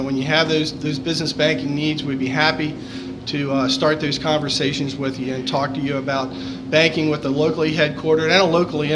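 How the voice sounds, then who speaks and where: A man, a bank president, giving formal, measured sponsor remarks at a live event. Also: on the high side. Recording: loud background noise.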